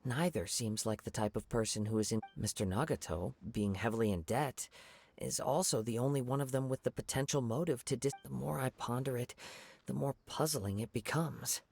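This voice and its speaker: A man voicing a calm, thoughtful young samurai in a video game, speaking softly in measured, formal phrases. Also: mid-range voice, vocal fry, slightly rough. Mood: pondering, calm. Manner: measured, contemplative, formal wording. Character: calm, thoughtful young male wandering samurai, polite and observant. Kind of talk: video game character acting.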